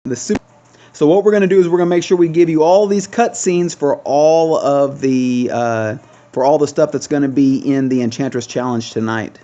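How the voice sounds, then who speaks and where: A man hosting a gaming video with casual, chatty commentary, thinking aloud with trailing pauses. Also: on the high side, dark tone, highs cut off.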